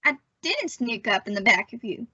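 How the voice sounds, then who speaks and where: A woman's voice: a little high, big pitch swings, normal speed, heavy background noise. A young woman playing a cheeky, put-upon young son in an old-time radio family comedy. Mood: grumbling. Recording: dull, muffled recording.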